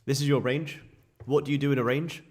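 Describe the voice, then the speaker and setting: Croaky-throated man talking trades. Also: mid-range voice, steady tone, fairly quick. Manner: casual, chatty explaining to viewers. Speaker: day trading streamer. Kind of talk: live trading commentary over charts.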